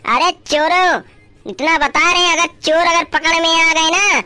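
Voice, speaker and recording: Swaggering village policeman put on by a cartoon dubber, male role, very high bright voice, bombastic. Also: steady tone, unhurried, no echo, exaggerated voice. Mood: swaggering, threatening. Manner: bombastic, drawling threats, theatrical. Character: blustering, vain, bribe-taking village policeman, swaggering man. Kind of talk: comedy cartoon dubbing.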